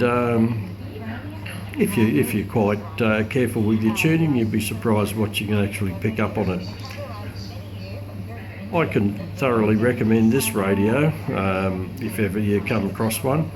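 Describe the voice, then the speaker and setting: A middle-aged man giving a casual, unscripted product demonstration, explaining hesitantly and without hurry, with pauses. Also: lowish voice. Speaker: radio collector presenting a review. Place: indoors, at a tabletop with the radio. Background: radio broadcast playing in the background.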